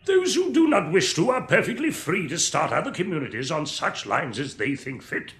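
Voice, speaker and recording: An actor playing a firm, formal, authoritative committee speaker in a radio drama. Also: male voice, fairly high pitch, sing-song, moderate pace, fairly bright tone. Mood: firm, declamatory.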